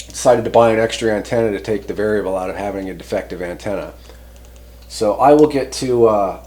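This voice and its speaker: A man's voice: medium pitch, dark tone. A man hosting a hobby video in a casual, rambling, hesitant way with dry, understated humor, walking through a product test. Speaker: host of a CB radio hobby channel.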